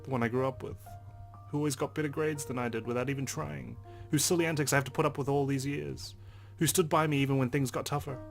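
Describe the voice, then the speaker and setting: Male let's player, mid-pitched, dramatic narration of on-screen text with sweary reactions, music clearly behind. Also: steady tone, fast talker. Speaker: let's play host. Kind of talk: dramatic reading aloud of game text, with reactions.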